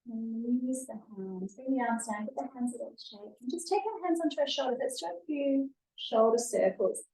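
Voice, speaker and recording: Mellow, higher-voiced woman guiding gentle yoga. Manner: gentle, unhurried soothing instruction. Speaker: yoga instructor. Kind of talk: guided yoga and breathing class. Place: yoga studio, live workshop. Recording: echoey room, distant mic.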